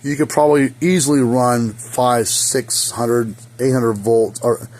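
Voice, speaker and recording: A hoarse man giving a casual, rambling how-to repair demonstration in his hot workshop, with lots of fillers. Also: mid-range voice, fairly even intonation, measured pace, a little mellow. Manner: rambling, folksy, many fillers. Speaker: fence energizer repair technician. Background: faint hum of a small fan.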